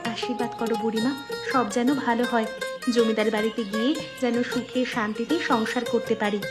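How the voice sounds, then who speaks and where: A woman voicing a shy, anxious, affectionate young village bride in an animated moral story. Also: fairly high pitch, brisk, over music, lightly acted.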